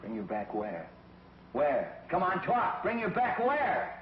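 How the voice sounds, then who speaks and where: A man's voice: on the high side, wildly swooping pitch, slow, a little hiss or hum, full character voice. An actor playing a brave young male space hero who reasons firmly, in a 1950s TV series. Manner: firm, reasoning. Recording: dull 1950s TV soundtrack, roomy, with music.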